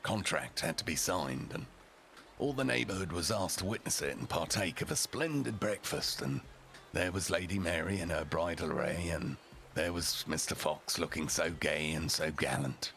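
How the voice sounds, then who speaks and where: A man with a gravelly voice telling a story theatrically and a little haltingly, as a rough-and-ready character. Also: sits low, animated, fairly bright tone, a little hiss or hum. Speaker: storyteller, roleplay streamer in character.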